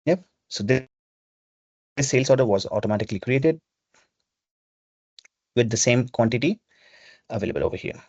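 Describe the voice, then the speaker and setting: A man presenting a webinar, explaining software step by step in an instructional way over a video call. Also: medium pitch, animated, moderate pace, long pauses, husky, no echo. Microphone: video call microphone. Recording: dull, lo-fi video call audio.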